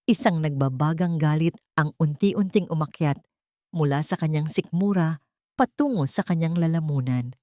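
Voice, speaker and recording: A woman narrating a scripted dramatic story in measured, short phrases. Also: low-pitched, darkish tone, no room sound, studio quality.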